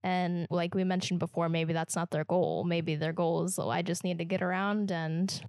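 A woman from Kansas with a Midwestern American accent, co-hosting a podcast in a reflective, halting way that often restarts phrases. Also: on the low side, little pitch movement, darkish tone, dry recording, clean studio sound. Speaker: podcast co-host. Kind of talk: casual podcast conversation.

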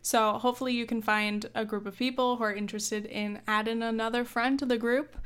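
A young woman in her twenties giving casual advice in a chatty way, with many fillers and small laughs, a little hoarse from coughing. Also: on the high side, steady tone, fairly quick, a bit bright. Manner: chatty, lots of "like" and "um", laughs often. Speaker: advice host.

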